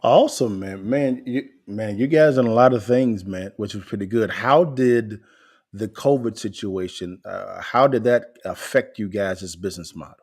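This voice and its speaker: A man's voice: on the low side, normal speed, a little mellow, husky. Easygoing male podcast host, casual interviewer. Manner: casual and encouraging, says "man" a lot.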